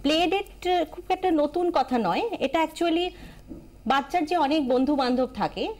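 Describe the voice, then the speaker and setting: A woman's voice: high voice, normal speed, a little mellow. A woman with an Indian Bengali accent giving explanatory advice as a TV expert guest, emphatic, repeating key phrases and dropping in English terms.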